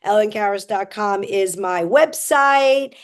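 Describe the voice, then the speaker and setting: A woman's voice: medium pitch, takes it slowly. A woman comedian hosting a podcast interview, chatting in a jokey, self-promoting way. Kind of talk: casual interview chat.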